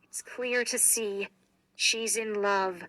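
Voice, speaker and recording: A woman acts out a storybook circus owner, a man of formal, earnest manner. He speaks slowly and deliberately, with a bright, forceful tone in a dry, close recording. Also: mid-range voice, fairly even intonation, dry recording, low-quality recording. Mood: solemn, sad. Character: circus owner, a man, showy announcer turned nervous and solemn.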